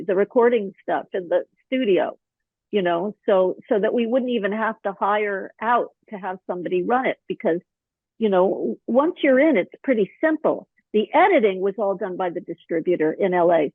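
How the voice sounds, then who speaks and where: Slightly hoarse woman guest, chatty storyteller, mid pitch, dry thin phone-line audio. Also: no echo. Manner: chatty, animated storytelling with many fillers. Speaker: podcast guest, author and actor. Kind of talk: casual interview chat.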